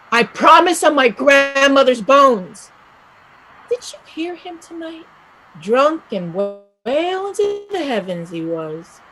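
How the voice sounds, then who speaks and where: A woman playing a restless young Irish village woman set on emigrating, speaking emphatically and deliberately in a stage play reading. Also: sits high, a bit bright, a little hiss or hum, exaggerated voice, poor audio. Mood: scornful, impassioned.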